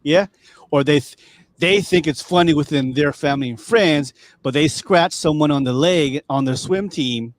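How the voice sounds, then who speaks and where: A man's voice: fairly high pitch, moderate pace, no echo. A man with a Californian accent hosting a podcast, talking loosely with many fillers and restarts. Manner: relaxed, rambling, many fillers. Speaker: podcast host. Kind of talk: casual chat.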